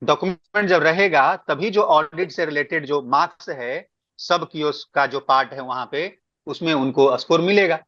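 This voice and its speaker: Man, emphatic meeting explainer, slightly high pitch, lo-fi dry conference audio. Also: moderate pace, fairly bright tone, no echo. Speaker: meeting speaker giving guidance. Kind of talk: explanatory work meeting talk. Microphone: online conference call. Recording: dull, lo-fi conference call.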